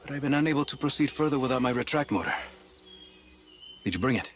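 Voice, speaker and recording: A man's voice: mid-range voice, expressive, quick pace, soft background music, close to an everyday voice. An actor playing a wry, scholarly male archaeologist in a game cutscene. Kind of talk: video game cutscene voice acting.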